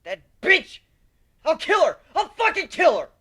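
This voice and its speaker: A man's voice: extremely high pitch, huge pitch swings, a little slow, no echo, studio quality, voice pushed up, heavily acted. A man voicing an embittered, hot-tempered male griffin captain in a story, with emotional delivery. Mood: enraged. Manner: emotional, swings from muttering to shouting.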